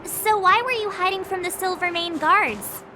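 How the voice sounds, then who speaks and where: Very high, spirited young adventurer girl. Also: moderate pace, noisy background. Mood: direct, bold. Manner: perky, blunt, expressive. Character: spirited, outspoken young female space traveler. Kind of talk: video game voice acting.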